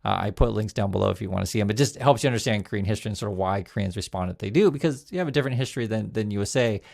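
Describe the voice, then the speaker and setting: A man's voice: lowish voice, racing, creaky, a little mellow. A man hosting a casual commentary show, chatting loosely, restarting words and reading quotes aloud. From United States. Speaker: news commentary host.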